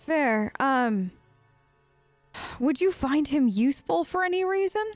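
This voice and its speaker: A woman's voice: high voice, animated, a little slow, no room sound, faint music behind. A woman hosting a let's play, improvising role-play dialogue and commentary in an informal, conversational way.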